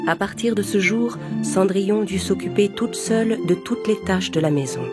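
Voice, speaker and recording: A woman's voice: mid-range voice, normal speed, over loud music. A woman narrating a scripted bedtime fairy tale for young children as the storyteller.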